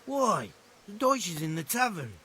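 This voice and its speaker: A man's voice: high voice, very animated, normal speed, sharp tone, breathy edge, full character voice. A man playing a brash young village troublemaker, blunt and goading, in a video game cutscene. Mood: impatient.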